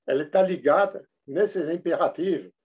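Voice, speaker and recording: Male industrial consultant, northern French accent, a touch higher in pitch, halting lecture delivery. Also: darkish tone, husky, highs cut off. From northern France. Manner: halting, many fillers and restarts. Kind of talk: technical lecture.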